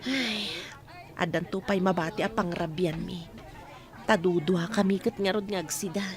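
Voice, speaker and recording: A woman playing a poor, patient young wife and mother in a radio drama, gentle and deferential. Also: on the low side, normal speed, close to an everyday voice. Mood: gentle, resigned. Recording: music under the voice.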